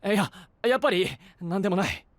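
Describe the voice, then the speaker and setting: An actor playing a brash, troubled young male knight in an anime voice drama, speaking roughly and emotionally. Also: sits high, fast, close to an everyday voice. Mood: anxious, frustrated. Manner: rough casual speech, emotional. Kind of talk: Japanese anime voice drama acting.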